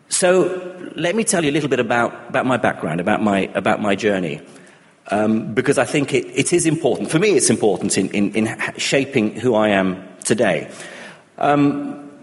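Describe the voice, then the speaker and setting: Middle-aged man raised in Iraq, mid-pitched, thoughtful talker who restarts often, voice ringing in a big hall. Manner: thoughtful, many restarts and fillers. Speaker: speaker on humanism and atheism. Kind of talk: reflective personal talk.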